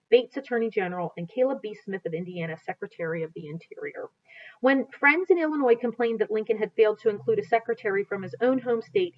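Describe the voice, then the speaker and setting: An American woman reads a history book aloud as a teacher, in an explanatory, teacherly way. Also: on the high side. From United States. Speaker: teacher reading aloud to students. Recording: dull, lo-fi sound.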